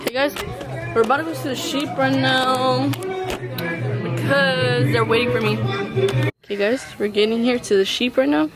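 A young woman hosting a casual vlog from a family party, excitable and joking, filmed on a handheld camera. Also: high voice, measured pace, pauses often, loud music behind the voice. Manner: excitable, joking, laughs a lot. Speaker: vlog host.

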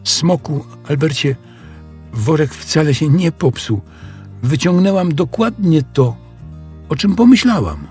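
Man doing an eager, high-pitched little girl. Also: unhurried, soft background music. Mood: sly, eager. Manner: excitable, emphatic, confident. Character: clever, eager little girl helping a dragon.

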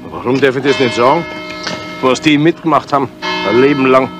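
An actor playing an outspoken, defiant village tavern regular in a 1970s German radio crime drama. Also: male voice, medium pitch, brisk, music in the background, lightly acted. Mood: defiant, bitter.

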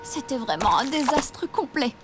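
An earnest young female cartoon pony who doubts herself. The voice is very high and expressive, with loud music behind it. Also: normal speed. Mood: discouraged. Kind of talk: French animated cartoon dub.

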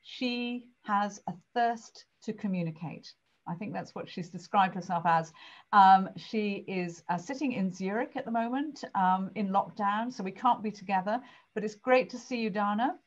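A woman's voice: medium pitch, moderate pace. Older woman interviewer, dull call audio. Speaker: interview host. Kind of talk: conversational interview. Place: indoors at home, on a video call. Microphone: video call.

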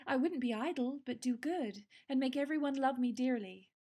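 A woman's voice: high voice, moderate pace, mellow, breathy edge. A woman playing a proper, motherly elder sister in an audio drama, with a prim, lilting way of speaking. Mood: dreamy. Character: proper, motherly eldest sister, gently scolding. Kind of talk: theatrical audio drama acting.

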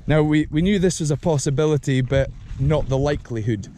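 A man with a Scottish accent, chatting excitedly and swearing while fishing with a friend on a rocky sea shore, recorded on a camera mic. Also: fairly high pitch, brisk, a little hiss or hum. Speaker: fishing vlogger. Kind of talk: excited casual chat outdoors.

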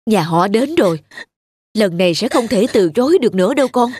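A woman voicing a kindly, resigned elderly village mother in an animated folk tale, persuading in a measured way. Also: medium pitch, a little hiss or hum, close to an everyday voice.

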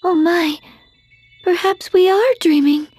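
A woman's voice: very high-pitched, slow, a little mellow, no room sound, faint music behind. A woman playing a sweet, anxious young girl in a fantasy video game, delicate and earnest in manner. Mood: troubled.

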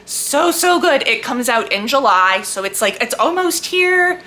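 A woman book vlogger chatting casually and enthusiastically to camera, leaning hard on emphatic, drawn-out words. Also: high-pitched, big pitch swings, sharp tone. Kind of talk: casual chat to camera about books.

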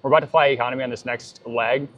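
A man's voice: mid-range voice, steady tone, racing, a bit bright. A man in his thirties co-hosting a travel vlog, chatting casually and reading reviews aloud, on a lapel mic in a big airport terminal. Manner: easygoing, chatty. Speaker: travel vlogger co-host. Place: large airport terminal, indoors. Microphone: clip-on lapel mic.

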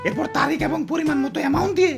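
A woman doing a gravelly fawning fish minister. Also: high voice, rapid-fire, music in the background. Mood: explaining. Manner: flattering, chanting praise, rustic dialect.

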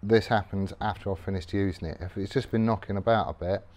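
A man's voice: on the low side, steady tone, quick pace, darkish tone. A middle-aged man casually explaining his tool care while working in a garage workshop, rambling and matter-of-fact with many ums. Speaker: workshop vlogger.

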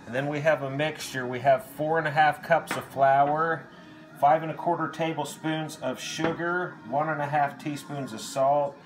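A man's voice: a little high, normal speed, background noise. Plainspoken man cooking outdoors, mic distant. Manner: relaxed, plainspoken, talks while working with his hands. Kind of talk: casual cooking demonstration. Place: kitchen indoors, then open grassy field outdoors. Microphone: camera mic at a distance.

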